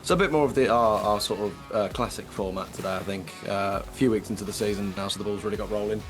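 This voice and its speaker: A young English man with a lowish voice, slightly croaky today. He talks quickly and loosely, full of ums and restarts, while soft music sits faintly behind him. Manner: chatty, many fillers and restarts. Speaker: podcast co-host. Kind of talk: casual football chat.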